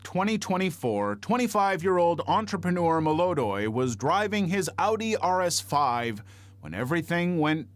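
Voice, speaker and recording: Man narrating true crime, lively higher-pitched voice. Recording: faint music bed under narration. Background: background music.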